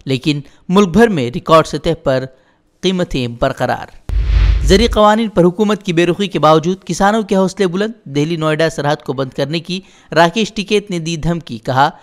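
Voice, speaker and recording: A man with a somewhat high-pitched voice reads a scripted news bulletin. His delivery is formal and declarative, set out headline by headline as a presenter would. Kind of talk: scripted headline news bulletin read in Urdu-heavy register.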